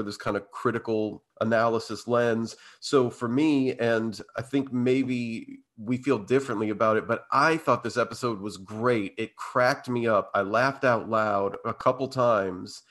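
Male podcast co-host, mid-pitched, a bit hoarse and stuffy, loose rambling chat. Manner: rambling, full of fillers and restarts. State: slightly hoarse and blocked up. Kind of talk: casual chat.

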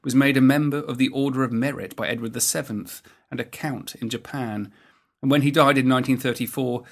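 A man's voice: medium pitch, slightly rough. Brief: male podcast host for scripted history narration, mid-range voice.

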